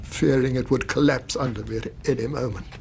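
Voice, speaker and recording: A male actor as a middle-aged English banker in a radio drama, a widowed and doting father sick with worry. His voice creaks as he speaks in formal, halting, agitated phrases. There is faint noise behind him. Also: mid-range voice, fairly even intonation, darkish tone, lightly acted. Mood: nervous.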